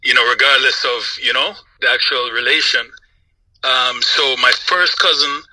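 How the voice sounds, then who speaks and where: A man from Jamaica and Trinidad who grew up in the United States, talking casually as an interview guest in a relaxed, conversational way. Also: mid-range voice, moderate pace, no echo, poor audio. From Jamaica and Trinidad, raised in the United States. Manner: relaxed, conversational, with frequent "you know". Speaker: guest, music industry professional.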